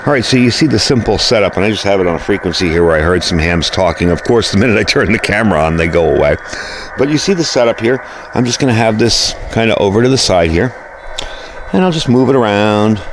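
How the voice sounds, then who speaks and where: A man's voice: lowish voice, lively intonation, fast talker, background noise. A middle-aged American man giving a casual, informal product review, explaining things as he goes. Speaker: gear review host. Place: indoor workbench, later outdoors by the house.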